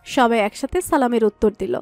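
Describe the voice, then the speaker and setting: A woman's voice: a little high, fast, creaky, a little mellow. A woman narrating a romantic audio story from a script. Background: background music.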